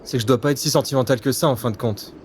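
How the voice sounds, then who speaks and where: A weary veteran agent acted by a man in a spy audio drama, mid-pitched and earnest. He sounds brooding and full of doubt, with ambient noise around him. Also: very fast, close to an everyday voice. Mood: casual, questioning.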